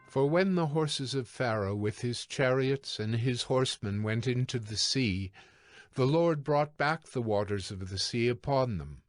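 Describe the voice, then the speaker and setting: A man narrating scripture as scripted audio, with formal, measured storytelling. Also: mid-range voice, no room sound.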